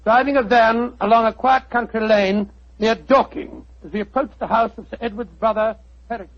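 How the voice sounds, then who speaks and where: A loyal doctor companion, grumbling and plain-spoken in a very high voice. The old radio recording is dull, with faint hiss. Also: male voice, normal speed. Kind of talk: 1940s radio acting.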